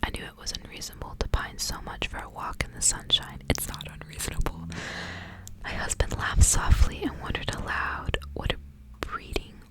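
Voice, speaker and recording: A woman speaking in a breathy whisper in the style of an ASMR reader, softly reading and chatting. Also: normal speed. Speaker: ASMR reader hosting a book series. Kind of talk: whispered ASMR storybook reading with casual asides.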